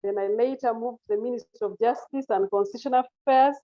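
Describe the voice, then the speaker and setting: A woman's voice: a little high, fast, warm tone. Courteous Ugandan woman on a video call. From northern Uganda. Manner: formal and courteous, addresses the host as Mister. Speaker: guest, internal auditor. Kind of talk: polite video call interview. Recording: muffled video call audio.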